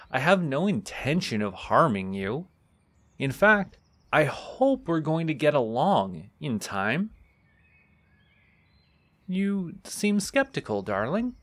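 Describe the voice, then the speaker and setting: A man voicing an immortal archfey in a soft-spoken fantasy audio roleplay, courtly, teasing and possessive, coaxing with condescending affection. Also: fairly high pitch. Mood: amused, teasing.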